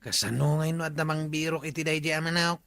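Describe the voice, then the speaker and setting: Casting: male actor as gleeful drinking buddy, Ilocano accent, comedy drama, bright voice, dry recording. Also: fairly high pitch, fairly even intonation, fast, gravelly, no room sound. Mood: amused.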